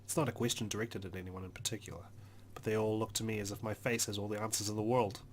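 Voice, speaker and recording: A man reading a video game's story aloud as a let's player, in an expressive, dramatic narrating style. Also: lowish voice, fast talker. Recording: music behind the voice.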